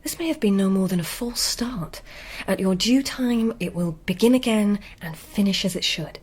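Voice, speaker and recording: A woman playing a sensible, caring companion to a court lady in a radio drama, earnest and gently firm. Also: medium pitch, animated, moderate pace, husky. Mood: reassuring.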